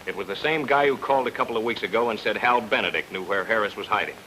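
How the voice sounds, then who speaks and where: A man playing a gruff, suspicious police detective, curt and accusing, in an old-time radio noir. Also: fairly low pitch, fast, pushed and bright, rough recording. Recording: hissy old radio recording.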